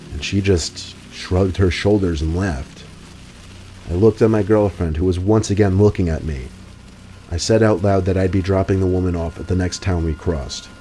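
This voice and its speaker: A man narrating scripted true horror stories in a steady, even way. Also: deep voice, slight background noise. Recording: faint music under the voice.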